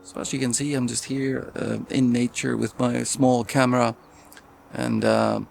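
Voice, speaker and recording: A man from Iceland teaching an online filmmaking class in a relaxed, gently encouraging way, with some fillers when he talks off the cuff. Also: mid-range voice, measured pace, creaky, soft background music. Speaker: film teacher. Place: outdoors in nature. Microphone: phone recording nearby.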